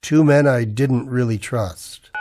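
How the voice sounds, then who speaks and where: A man's voice: medium pitch, slow pace, background noise, natural voice. An actor playing a tense, defiant man narrating a noir sci-fi ordeal in old radio drama style, hard-boiled and urgent. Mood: grim, reflective. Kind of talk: 1940s radio drama acting with first-person narration.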